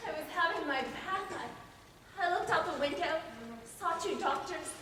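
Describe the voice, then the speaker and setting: Young woman acting distraught high-voiced defiant mother, echoey stage. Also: moderate pace, bright, forceful tone. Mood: distressed, pleading. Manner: theatrical, emphatic. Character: distraught defiant mother wrongly committed to an asylum. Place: auditorium stage, recorded from far off. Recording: echoey stage, far mic, faint hiss.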